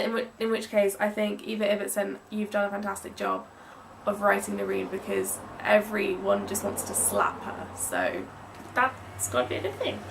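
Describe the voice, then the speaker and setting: A woman's voice: mid-range voice, creaky. A young woman with an English accent chatting casually in a gushing, emphatic way, as in a book review vlog. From England. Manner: gushing, emphatic, with drawn-out stressed words. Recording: distant mic with some background noise.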